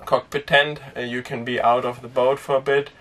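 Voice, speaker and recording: A young man who grew up speaking Danish, chatting casually to camera inside a small sailboat, relaxed and rambling with lots of fillers. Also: medium pitch, normal speed, recorded from a distance. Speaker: sailing vlogger. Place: inside a small sailboat cabin and cockpit tent.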